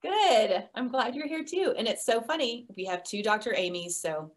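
A woman in her forties co-hosting a podcast, chatting in a bubbly, quick-reacting way over a video call. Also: on the high side, lively intonation. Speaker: podcast co-host. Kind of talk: casual chat.

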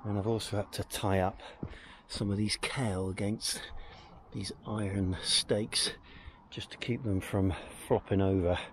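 A man's voice: deep voice, a little mellow, a little hiss or hum. An older man talking casually as he works on his allotment, explaining each step as he goes. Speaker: allotment gardener. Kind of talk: casual gardening vlog. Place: outdoor garden allotment. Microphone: camera mic on a tripod at a distance.

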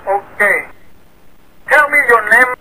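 A man's voice: extremely high pitch, lively intonation, slow pace, pushed and bright. A man making a prank phone call, repeating the same broken phrases over and over in a demanding way. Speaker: prank caller.

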